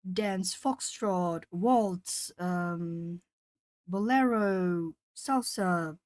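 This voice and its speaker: A woman's voice: mid-range voice, darkish tone, no echo. A woman from Brazil, a native Portuguese speaker with a southern Brazilian accent, chatting casually with viewers and reading their comments aloud while she colours. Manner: chatty, reads chat comments aloud, pauses to think. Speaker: art streamer.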